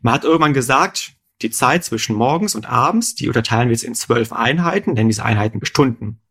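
Ein Mann aus dem Rheinland, der als Co-Moderator im Podcast locker etwas erklärt und seinem Gesprächspartner geduldig Rechenfragen stellt. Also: male voice, medium pitch, lively intonation, fairly quick, fairly bright tone, slightly rough. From Rhineland, Germany. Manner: patient, quizzes his co-host with questions. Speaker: podcast co-host explaining numbers. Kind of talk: explanatory conversational chat.

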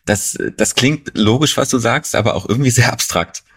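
Männerstimme, belegt und rau, lockerer Plauderton, trockener Humor. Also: male voice, mid-range voice, moderate pace, fairly bright tone, husky. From Berlin, Germany. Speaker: podcast co-host. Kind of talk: casual conversational chat.

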